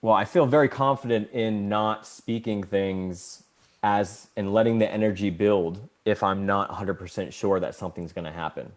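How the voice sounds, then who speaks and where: A man co-hosting a podcast, talking earnestly in a casual spiritual chat, rambling a little and getting animated as he tells stories. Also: fairly low pitch, moderate pace. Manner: earnest, rambling, gets animated when telling a story. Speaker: podcast co-host.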